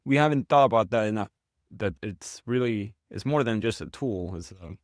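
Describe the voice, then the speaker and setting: A man speaking as an interview guest in a casual conversation, reflective and halting, often restarting his sentences. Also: lowish voice, lively intonation, no room sound, studio quality. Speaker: guest, founder of a literature platform.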